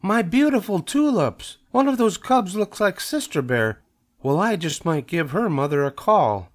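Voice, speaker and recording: A man's voice: sits high, sing-song, a little slow, pitched above the performer's own voice. A man voicing a fussy, indignant lady bear in a children's storybook.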